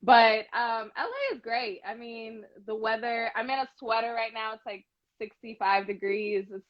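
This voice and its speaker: A woman guest talks in an upbeat, playful way, teasing lightly. Her voice sits a touch high. The sound is slightly dull, as over a video call. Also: lively intonation, normal speed. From Sacramento, California. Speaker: guest, sports TV host.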